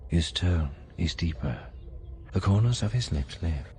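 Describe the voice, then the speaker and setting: A man reads short descriptive narration in an extremely deep voice. The synthetic delivery is slow, with faint noise behind it. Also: lively intonation, darkish tone. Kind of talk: clipped present-tense descriptive narration.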